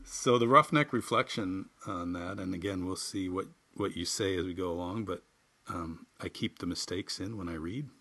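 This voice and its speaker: Bright-voiced, low-pitched folksy man. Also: moderate pace. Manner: wry, folksy, self-deprecating. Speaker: writer and podcast host. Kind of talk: reading a humorous personal essay and chatting informally.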